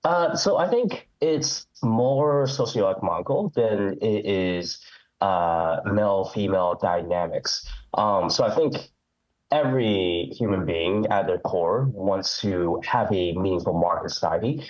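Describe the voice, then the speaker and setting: A man's voice: low voice, a little slow, darkish tone, lo-fi sound. A man calling in to a live show, speaking hesitantly with many ums and restarts, with a head cold. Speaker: caller. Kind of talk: call-in chat.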